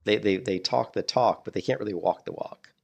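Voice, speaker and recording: A man streaming a game and chatting casually in a blunt, rambling way, hoarse as he loses his voice to a cold. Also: lowish voice, brisk, highs cut off. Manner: blunt, rambling with many "you know"s. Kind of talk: casual gameplay commentary.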